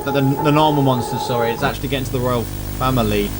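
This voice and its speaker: A man's voice: mid-range voice, fairly even intonation, racing, very noisy. A man giving casual reaction commentary as host, chatty, often repeating himself and dropping in short interjections.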